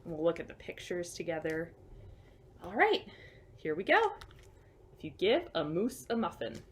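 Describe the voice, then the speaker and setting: A woman reading a picture book to children as a storyteller, expressive, with chatty, simple asides to young listeners. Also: on the low side, moderate pace, slight background noise.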